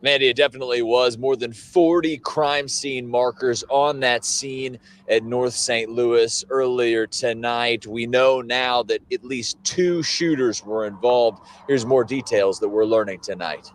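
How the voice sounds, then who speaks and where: A man's voice: mid-range voice, measured pace, few pauses. A male television reporter with a mid-range voice. He delivers a scripted crime report in broadcast news style.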